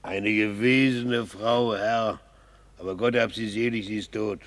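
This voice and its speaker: A man's voice: on the low side, steady tone, measured pace, close to an everyday voice. A man in a radio drama playing a cheeky, earthy peasant gravedigger who drawls colloquially and quibbles. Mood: cheeky, quibbling. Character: cheeky male gravedigger, earthy peasant clown, singing at work. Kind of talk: classical radio drama acting.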